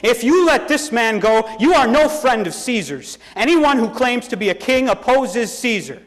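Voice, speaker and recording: A man voicing an angry, unyielding mob of accusers in a dramatised scripture reading, loud, declamatory and demanding. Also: extremely high pitch, lively intonation, normal speed, big-room sound, pitched above the performer's own voice, rough recording. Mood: defiant.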